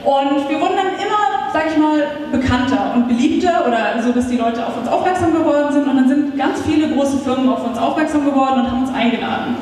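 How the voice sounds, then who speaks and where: A woman's voice: high voice, quick pace, hardly any pauses. A woman, giving a chatty conference talk as a startup founder into a handheld mic, with lots of filler sounds. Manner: lively, chatty, many fillers. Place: meetup hall, indoors, on stage. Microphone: handheld microphone. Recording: echoey hall, taped from far off.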